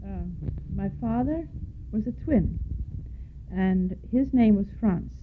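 An older Danish woman tells her life story in a middle-pitched voice, pausing to spell names letter by letter. She reminisces plainly and at length. The recording is thin and tinny, like an old phone line. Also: animated, slight background noise. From Denmark. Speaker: interviewee. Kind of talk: oral history interview.

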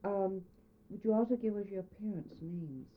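A woman at mid pitch conducts an oral history interview. She asks measured, prompting questions and answers with quiet mm-hmms. The sound is narrow and lo-fi, like an old phone-band tape.

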